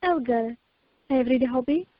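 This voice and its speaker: Woman acting a playful romantic brit lady, high voice, dull dry phone audio. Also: normal speed, no room sound. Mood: flirty. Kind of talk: amateur radio play acting. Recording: muffled telephone conference line.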